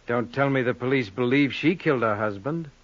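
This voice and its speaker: An actor playing a brusque, self-assured, cagey gambling boss with clipped, sardonic lines in an old-time radio crime drama. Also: male voice, medium pitch, a little fast, dull audio, natural voice.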